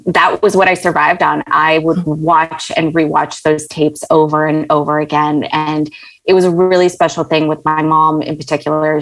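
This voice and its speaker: A woman's voice: low-pitched, low-quality recording. A woman screenwriter born in Malaysia and raised in Saudi Arabia, a podcast guest who talks in a chatty way with fillers. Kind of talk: casual interview.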